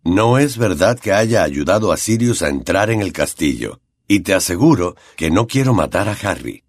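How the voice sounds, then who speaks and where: A man voicing a weary, gentle male teacher who is secretly a werewolf, speaking quietly and calmly. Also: sits low, normal speed, dry recording. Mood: calm pleading. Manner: quiet, calm, soothing.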